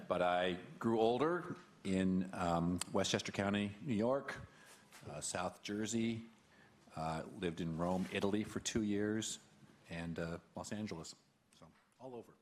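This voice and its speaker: A planning commission chair introducing himself at a meeting, self-deprecating and halting with ums. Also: male voice, deep voice, slight background noise.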